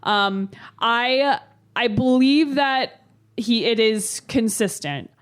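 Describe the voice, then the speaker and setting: A woman guesting on a podcast, chatting casually and thinking out loud as she forms her opinion. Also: on the high side, expressive, slow pace, clean tone. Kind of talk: casual chat.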